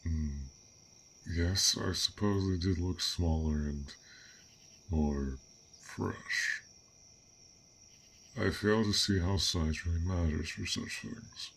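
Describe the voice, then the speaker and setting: A man voicing a gentle, bashful dragon in an intimate fantasy audio roleplay, speaking haltingly in formal, old-fashioned words. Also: deep voice, vocal fry, a little hiss or hum, heavily acted. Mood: curious, musing. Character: fearsome but gentle, bashful, curious male dragon. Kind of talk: roleplay audio acting, intimate one-sided dialogue.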